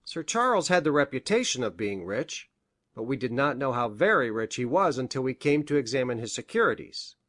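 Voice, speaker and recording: Storybook gentleman doctor played by a woman: earnest, formal, precise, lively intonation, dry close sound. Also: extremely low pitch, a little fast, no room sound, voice pushed down, exaggerated voice. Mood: earnest, informative. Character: earnest gentleman doctor, respectable and easily startled.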